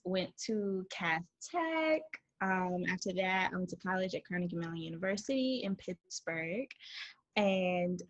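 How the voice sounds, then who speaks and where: Chatty young woman from Detroit. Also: mid-range voice. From Detroit, USA. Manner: chatty, candid, laughs easily. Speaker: actor, interview guest. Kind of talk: casual interview over video call. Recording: dull lo-fi audio.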